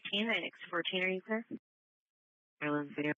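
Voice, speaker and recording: A woman works as a police dispatcher, reading out clipped calls at a quick pace. Her voice comes through a narrow, tinny radio channel with a dry sound. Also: on the low side, sharp tone, no echo.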